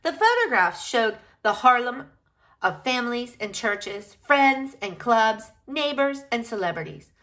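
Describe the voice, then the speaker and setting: A woman reading a picture book aloud in an expressive, deliberate storytelling style. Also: on the high side. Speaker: reader of a children's picture book.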